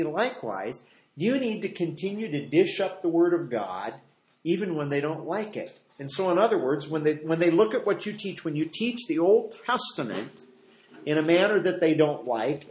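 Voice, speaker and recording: An American man teaches scripture in the manner of a pastor leading a study. His voice sits a little high for a man. He explains in a conversational way, leaning on repeated phrases for emphasis, and the room adds a clear echo. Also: darkish tone. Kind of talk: expository Bible teaching, partly conversational. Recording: roomy, echoing live teaching space.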